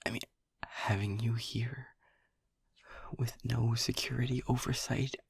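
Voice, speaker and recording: A man voicing an anxious, devoted technician lover who whispers his pleas in a husky, creaky voice and stammers as he talks. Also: mid-range voice, fairly even intonation, unhurried, dry recording, exaggerated voice. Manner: hesitant and stammering, pleading. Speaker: audio roleplay performer. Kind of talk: intimate whispered audio roleplay.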